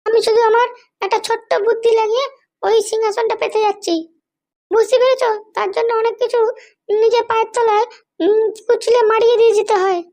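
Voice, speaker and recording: A performer voicing a scheming, power-hungry madam in a comedy cartoon, with a high childlike voice and a bossy, threatening manner. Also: flat delivery, a little fast, a little mellow. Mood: self-justifying, ambitious. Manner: bossy, threatening, self-justifying. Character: scheming, power-hungry madam, ruthless mother seeking political power.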